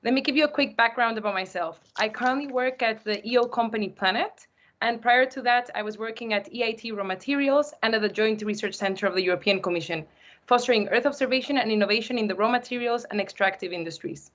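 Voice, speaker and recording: A woman's voice: fairly high pitch, fast talker, fairly bright tone. A woman giving an eager, enthusiastic prepared introduction over a video call. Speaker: sector evangelist. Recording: dull lo-fi sound.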